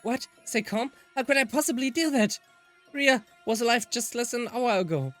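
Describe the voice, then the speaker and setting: A man's voice: very high-pitched, moderate pace, fairly bright tone, soft background music, voice pushed up. A man who is a native German speaker voicing a distraught, hot-headed young visual novel protagonist in an emotional, exclamatory read-aloud. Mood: shocked, grieving.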